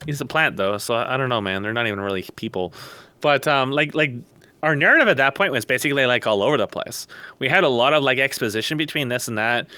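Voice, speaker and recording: A man's voice: mid-range voice, quick pace, pushed and bright, slight background noise. A man talking casually on a podcast over a voice call, rambling at length with blunt, sardonic, profane opinions. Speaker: podcast guest. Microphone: close mic on voice chat.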